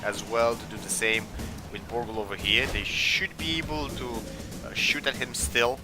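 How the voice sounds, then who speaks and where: A man's voice: medium pitch, fairly even intonation, moderate pace. A man giving casual let's play commentary, hesitant and thinking aloud as he goes, with music behind him. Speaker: gaming video host. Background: game music.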